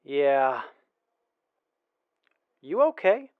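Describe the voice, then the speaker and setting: A man's voice: medium pitch, sing-song, drawn-out words, phone-quality sound, a little hiss or hum. A man playing a sincere, principled wasteland traveler in an audio drama, speaking a little hesitantly. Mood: earnest.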